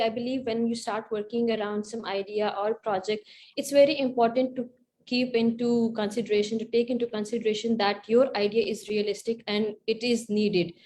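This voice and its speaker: Earnest, higher-voiced Pakistani woman on a panel. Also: a little mellow. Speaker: panelist, project grantee. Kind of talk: explanatory panel talk.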